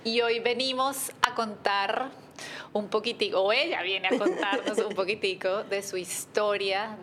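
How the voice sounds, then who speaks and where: A woman hosting a casual interview, enthusiastic and warm, who opens with a fervent prayer. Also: mid-range voice, slight background noise. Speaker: host.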